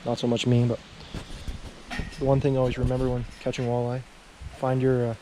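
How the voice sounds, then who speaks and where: A man's voice: mid-range voice, monotone, brisk, background noise. Young guy narrating an outdoor cook. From Canada. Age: young adult. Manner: easygoing, self-narrating while he works. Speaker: fishing vlogger. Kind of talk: casual outdoor cooking vlog. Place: outdoors at a lakeside parking area. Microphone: action camera, sometimes handheld at arm's length.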